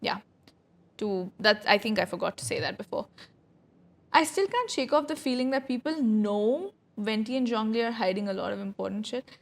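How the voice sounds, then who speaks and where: A woman's voice: medium pitch, normal speed, vocal fry. A woman streamer giving casual, chatty livestream commentary on a game, informal in her wording.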